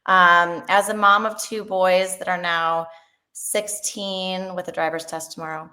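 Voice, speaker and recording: A woman's voice: lowish voice, steady tone, measured pace, pushed and bright. A woman in her forties, a dietitian and founder, speaking earnestly on a webinar panel. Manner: earnest, enthusiastic, with fillers. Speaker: registered dietitian, company founder.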